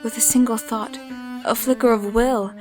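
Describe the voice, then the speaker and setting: A woman's voice: a little high, fairly even intonation, over music, close to an everyday voice. Woman playing solemn haunted narrator over strings. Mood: hushed awe. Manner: measured, literary, grave. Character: solemn, haunted young woman who sees souls. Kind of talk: dramatic first-person narration in audio drama. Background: cello and violin score.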